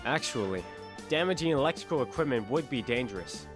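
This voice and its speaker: A man's voice: medium pitch, lively intonation, a little fast, faint music behind, close to an everyday voice. A man playing a determined defense attorney in an audio drama, pointed and argumentative. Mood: pointed, confident.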